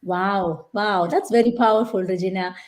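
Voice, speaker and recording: A woman's voice: mid-range voice, normal speed. A woman hosting a live online interview, chatty with many fillers and restarts. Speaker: host.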